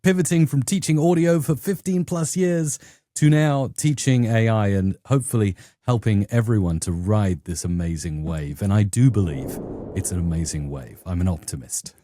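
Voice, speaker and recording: A middle-aged man with a southern English accent, telling stories conversationally as an interview guest, often acting out quotes in an animated manner. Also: lowish voice, moderate pace, no room sound, studio quality. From England. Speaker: YouTube educator and interview guest.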